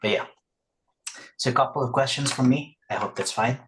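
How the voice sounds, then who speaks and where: A man's voice: mid-range voice, moderate pace, fairly bright tone, slight background noise, low-quality recording. A man asking a question in a webinar over a video call, hesitant, with many uhs. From Philippines. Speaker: audience member asking a question.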